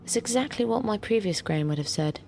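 A woman's voice: mid-range voice, animated, quick pace, vocal fry, a little mellow, slight background noise. A woman acting an earnest, eager tourist in an audio drama. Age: adult. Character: earnest, eager woman sightseeing with her partner.

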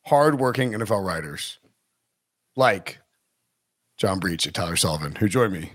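A man's voice: low voice, lively intonation, moderate pace, dry recording, clean studio sound. A man hosting a sports podcast in casual chat, rambling and interrupting himself, laughing often. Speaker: podcast host.

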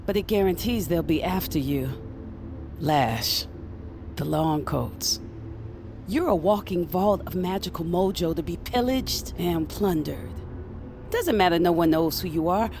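An actress playing a worldly, protective ally who knows magic, speaking with deliberate, cautioning emphasis in an audio drama. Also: female voice, on the low side, animated, darkish tone, a little hiss or hum. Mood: urgent warning.

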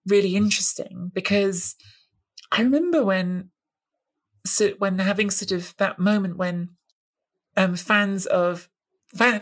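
A woman co-hosting a football chat, analytical and thoughtful, sometimes hunting for the right word. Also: mid-range voice. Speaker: football podcast co-host.

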